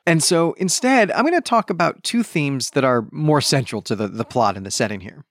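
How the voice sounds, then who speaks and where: Lively male book podcast host, dry sound. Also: a little high, fairly quick, no room sound. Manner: conversational explaining, tags points with "right?". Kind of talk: book discussion podcast, explanatory plot summary.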